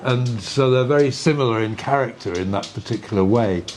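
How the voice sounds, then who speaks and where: A man's voice: medium pitch, a little fast, darkish tone. An older man talking off the cuff at a live event, reflective and careful to qualify his points. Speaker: speaker at a public conversation. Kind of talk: conversational unscripted talk. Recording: audience noise behind the voice.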